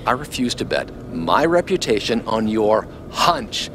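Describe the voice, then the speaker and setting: A man's voice: lowish voice, big pitch swings, unhurried. A man playing an indignant, self-important middle-aged archaeologist, pompous and defensive, in a video game cutscene. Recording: noisy outdoor game-scene ambience.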